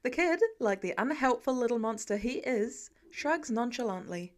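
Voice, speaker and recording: Higher-voiced woman reading a story. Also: lively intonation, moderate pace. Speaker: podfic reader. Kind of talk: scripted story narration of fan fiction.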